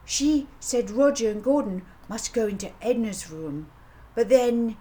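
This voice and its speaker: A woman's voice: a little high, a little slow, warm tone, pitched above the performer's own voice, lightly acted. A woman voicing a small, chatty boy in a storybook with simple, matter-of-fact childish telling. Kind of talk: children's audiobook character voice. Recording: audible background noise.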